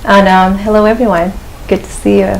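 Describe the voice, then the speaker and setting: Gravelly-voiced woman. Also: medium pitch, flat delivery, a little fast, slight background noise, rough recording. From Philippines. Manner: hesitant, many ums and restarts. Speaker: guest. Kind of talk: conversational interview storytelling.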